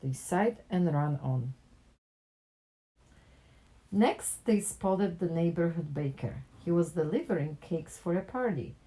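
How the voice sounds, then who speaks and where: A woman reads a picture book aloud in an expressive storytelling style for children. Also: on the low side, slow, pauses often, slightly rough, poor audio. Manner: animated character dialogue with plain narration.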